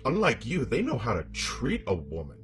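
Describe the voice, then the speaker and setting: A man's voice: mid-range voice, huge pitch swings, normal speed, fairly bright tone, faint music behind. A man voicing a scorned, vengeful demonic ex-wife in a horror monologue, haughty, bitter and theatrical. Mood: scornful.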